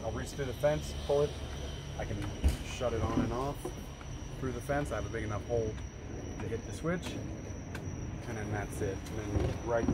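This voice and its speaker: A fairly low-voiced man in his forties talks through his gear in a loose, rambling way, full of ums and uhs. He is recorded outdoors on a camera mic. Crickets and insects fill the background noise. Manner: relaxed, rambling, many fillers. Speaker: detailing business owner showing gear. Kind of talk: casual vlog walkthrough. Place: outdoors by a fenced wall at night, later daytime.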